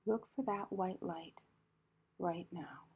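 Breathy, mellow woman guiding meditation. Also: on the low side, lo-fi sound. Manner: calm, gentle, measured instruction with pauses. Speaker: meditation guide. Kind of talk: guided meditation narration.

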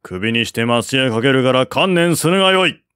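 A man's voice: mid-range voice, lively intonation, normal speed, fairly bright tone, clean tone, no echo, clean studio sound, close to an everyday voice. A man voicing a stern, resolute military commander in an audiobook, speaking formally and commandingly. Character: stern, unyielding male army commander, lawful and resolute. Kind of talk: audiobook character acting.

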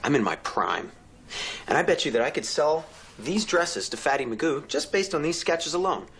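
A man playing a vain, menacing narcissist in a sitcom, with a creepy voice, grandiose and deliberately dramatic in delivery. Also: fairly high pitch, big pitch swings, fairly bright tone, slight background noise. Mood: boastful. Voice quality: creepy voice, slipping into breathy whispers. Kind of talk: sitcom monologue acting.

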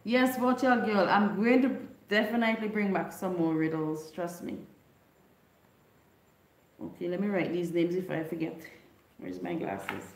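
A woman's voice: on the low side, animated, unhurried, reverberant. A middle-aged woman from Grenada with a Grenadian Creole English accent, hosting a casual livestream chat from her living room and telling stories to her viewers. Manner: chatty, retelling a folk story, reading viewer comments. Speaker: livestream host. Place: home living room with neon lights. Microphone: desk microphone on stand.

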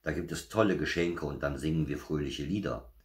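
A man's voice: very deep voice, fairly quick. A man voicing a teasing, know-it-all young male wolf in a children's storybook reading, always one-upping.

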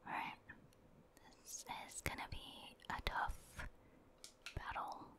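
A woman giving ASMR gameplay commentary in a breathy whisper, chatting in short murmured asides as she plays. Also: slow, bright, forceful tone. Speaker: gaming streamer and ASMR host.

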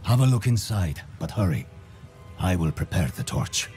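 A man's voice: low-pitched, very animated. A man playing a grave, zealous hunter of evil, speaking solemnly in a video game cutscene. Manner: solemn, measured, dark. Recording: music behind the voice.

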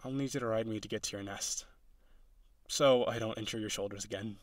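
A man playing a tender, insecure young male harpy in an intimate audio roleplay, halting and pleading as he speaks. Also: fairly low pitch, dry recording. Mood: sad, tender.

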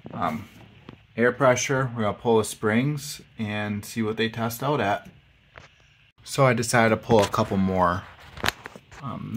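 A middle-aged man with a fairly low voice talks through a hands-on mechanical job. He speaks casually and haltingly, thinking aloud as he goes. There is faint noise from the workshop around him. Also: gravelly. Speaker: hobbyist mechanic demonstrating a repair. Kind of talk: casual hands-on how-to narration. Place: home garage workshop, indoors.

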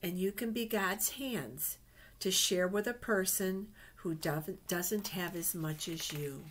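A woman's voice: fairly low pitch, slow pace, a little hiss or hum. A middle-aged woman reads picture books aloud to children, adding chatty asides and simple questions. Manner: simple, child-directed phrasing with gentle questions. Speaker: children's Bible storyteller. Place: small indoor office. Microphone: webcam at desk distance.

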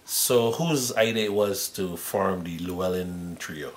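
A man hosts a casual interview. He speaks conversationally in an echoey room, with faint noise in the background. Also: a little slow. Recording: roomy sound with faint rumble.

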